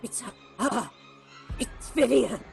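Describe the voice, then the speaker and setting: A woman's voice: a little high, lively intonation, slow, vocal fry, music in the background, exaggerated voice. A woman playing a guilt-crazed, jealous actress in a theatrical horror drama, ranting melodramatically as she confesses. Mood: manic, bitter.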